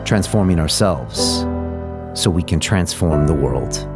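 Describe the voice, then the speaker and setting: A man with a very deep voice reads a scripted show intro, slow and deliberate, each phrase spaced out. Loud guitar music plays under him. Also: expressive. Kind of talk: scripted voice-over.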